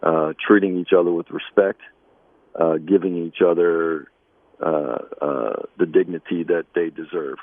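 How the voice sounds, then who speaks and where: A man speaking as an interviewed basketball coach, conversational and measured, with halting pauses. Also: deep voice, fairly even intonation, dry recording, narrow, tinny audio.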